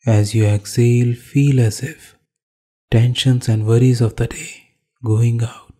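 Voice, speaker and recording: Indian guy doing guided yoga meditation, really soft dark voice, slow and calm, leaves gaps between phrases. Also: medium pitch. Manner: calm, unhurried pauses between short phrases. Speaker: yoga nidra meditation instructor.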